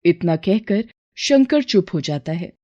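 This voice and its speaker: A woman's voice: on the low side, animated, unhurried, warm tone, muffled recording. A woman narrator telling a scripted moral tale in a storytelling style.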